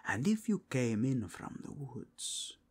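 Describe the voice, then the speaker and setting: A slow, husky inquisitor played by a man for a fantasy ASMR roleplay. He is a suave churchman, formal and insinuating, with whispery breath and pitch that swoops widely as he probes. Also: very animated, heavily acted. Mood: probing. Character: suave, probing male cardinal of a fantasy Inquisition.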